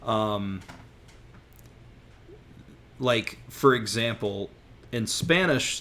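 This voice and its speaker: A man from the southern United States hosts a casual podcast about languages. He talks in a rambling way, restarting phrases and laughing at himself. His voice sits at an ordinary mid pitch. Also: a bit bright. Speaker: podcast host. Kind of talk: casual chat.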